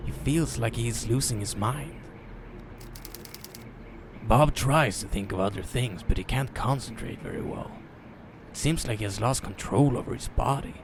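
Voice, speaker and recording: A man narrating a story as a dramatic reading, theatrical in manner with deliberate pauses. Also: mid-range voice, creaky, background noise.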